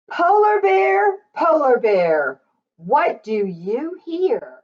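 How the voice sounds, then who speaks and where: A woman reading a picture book aloud to young children in an expressive, sing-song way. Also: a little high. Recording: slightly dull, lo-fi recording.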